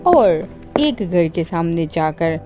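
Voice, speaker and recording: A woman's voice: lowish voice, big pitch swings, slow pace. A woman narrator reading a scripted children's story over music. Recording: music behind the voice.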